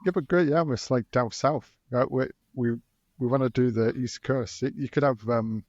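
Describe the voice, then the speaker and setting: A man's voice: medium pitch, moderate pace, darkish tone, dry recording. A man who organizes conferences, chatting on a podcast and restarting his sentences often. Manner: hesitant, restarts a lot. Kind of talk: casual podcast chat. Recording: dry, dull lo-fi sound.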